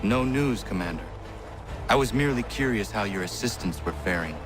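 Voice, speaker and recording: An actor playing an earnest, stern young assassin in a video game, speaking in a solemn, formal and measured way. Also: male voice, on the low side, lively intonation, over music, lightly acted. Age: young adult. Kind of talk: video game cutscene acting.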